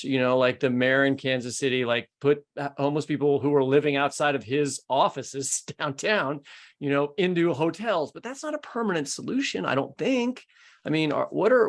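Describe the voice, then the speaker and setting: American male podcast host, fairly high voice, emphatic and discursive, corrects himself as he goes. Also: vocal fry. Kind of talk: conversational interview questioning.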